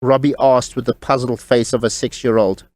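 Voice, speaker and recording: Casting: male narrator for scripted audio drama, mid-pitched voice, very dry recording. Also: little pitch movement, moderate pace, darkish tone, no room sound.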